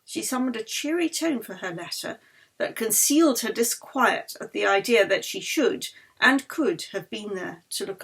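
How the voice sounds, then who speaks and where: A woman reads literary fiction aloud as its author. Her voice is fairly high with a breathy, husky grain, and her storytelling is measured and expressive. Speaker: author reading her novel. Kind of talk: literary audiobook narration.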